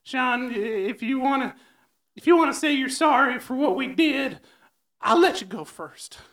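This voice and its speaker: A man's voice: very high voice, lively intonation, normal speed, a bit bright, full character voice. A man voicing a dopey male cartoon mouse hosting an improvised comedy show, drawling "man" at the end of lines. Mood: goofy. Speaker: host.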